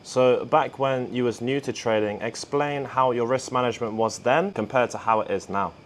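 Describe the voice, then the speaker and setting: A man's voice: medium pitch, steady tone, normal speed. A man hosting a podcast, prompting his guest with casual interview questions.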